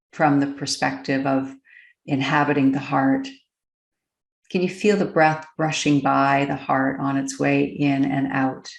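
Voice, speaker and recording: A woman with a very low voice and a marked creak leads a guided meditation. She speaks gently and deliberately, leaving long pauses as she goes. The recording has a clearly roomy, echoing sound. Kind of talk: slow, unscripted guided meditation.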